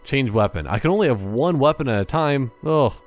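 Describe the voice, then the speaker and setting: A man's voice: mid-range voice, lively intonation, a little fast, faint music behind. A man giving casual, jokey gameplay commentary, thinking aloud and wandering off on tangents. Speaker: gaming YouTuber.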